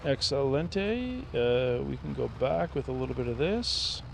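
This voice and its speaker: A man streaming a farming game, thinking aloud in a relaxed, rambling way as he plays. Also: mid-range voice, a little slow, vocal fry, slight background noise. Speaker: gaming streamer. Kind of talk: casual gameplay commentary.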